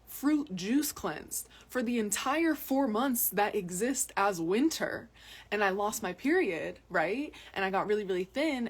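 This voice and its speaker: A young adult woman from Massachusetts, chatting casually to viewers in a live video recorded outdoors on a phone, often repeating words for emphasis. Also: mid-range voice. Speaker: health vlogger. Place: outdoors in cold mountains.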